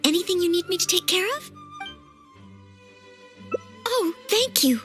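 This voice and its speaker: A woman's voice: very high voice, expressive, measured pace, a bit bright, faint music behind, exaggerated voice. A woman playing a polite, anxious and earnest young maid who trains to be a knight in a video game. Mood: polite, pleased. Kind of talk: video game character voice acting.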